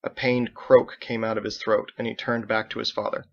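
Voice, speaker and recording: Creaky-voiced man narrating an audiobook. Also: lowish voice, level tone, moderate pace, a bit bright, lo-fi sound. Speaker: author reading his own story.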